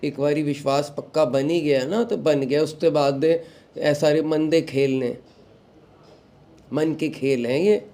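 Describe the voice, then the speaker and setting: Young guy, devotional talk, somewhat high but mellow voice, throat still a bit sore. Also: unhurried. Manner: mixes in English phrases, calm advising tone. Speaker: satsang host. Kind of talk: devotional talk, addressing the followers.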